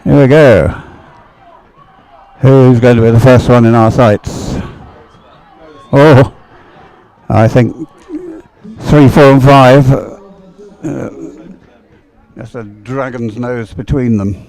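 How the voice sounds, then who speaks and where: A man with a dark, relaxed voice of middling pitch, co-commentating on live sport. His delivery is conversational and musing, breaking off and picking up phrases as he goes. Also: takes it slowly, pauses often, poor audio. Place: open-air commentary position.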